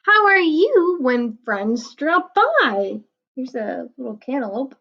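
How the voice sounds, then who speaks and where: A woman reading a picture book aloud to children, explaining the pages in a gentle, patient way. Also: high-pitched, sing-song, takes it slowly, creaky, muffled recording.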